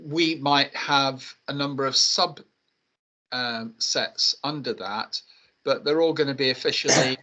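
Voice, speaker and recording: A man giving an update in an online meeting, explaining in a measured, hesitant way with many fillers. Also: medium pitch, bright, forceful tone, dull audio.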